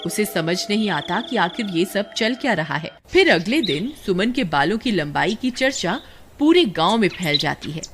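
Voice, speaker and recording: Animated cartoon story narrator. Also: medium pitch, normal speed, background noise. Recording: loud music behind the voice.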